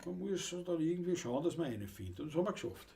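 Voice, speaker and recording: A man's voice: on the high side, animated, quick pace, gravelly, warm tone. Easygoing Viennese man. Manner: easygoing, reads out chat comments. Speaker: musician and show host. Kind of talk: casual chat reading viewer comments. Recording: live music studio with guitar.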